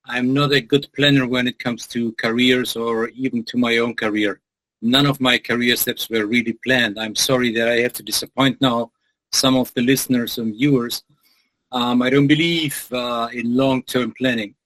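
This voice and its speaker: Middle-aged man, German native, mid-pitched voice, dry close sound, thoughtful with ums. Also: fairly even intonation, moderate pace, a bit bright, no room sound, rough recording. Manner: reflective, many um and uh fillers. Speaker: guest, business lecturer. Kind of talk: conversational interview answers.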